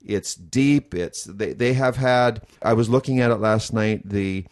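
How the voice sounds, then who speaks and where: A man's voice: on the low side, measured pace. A man co-hosting a casual chat, enthusiastic and rambling as he thinks aloud about plans. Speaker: co-host of a nutrition school podcast.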